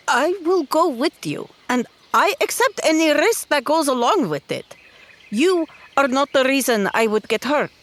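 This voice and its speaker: A woman's voice: high voice, voice pushed up. A woman playing a determined, fiercely loyal young female adventurer in tabletop roleplay, speaking earnestly and emphatically.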